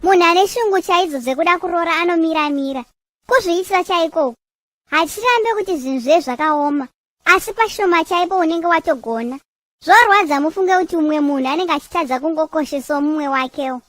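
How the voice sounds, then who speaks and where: Earnest cartoon churchwoman, played by a woman: extremely high voice, reproachful Shona, dry recording. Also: fairly quick, a bit bright, dry recording. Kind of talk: animated comedy cartoon dialogue in Shona.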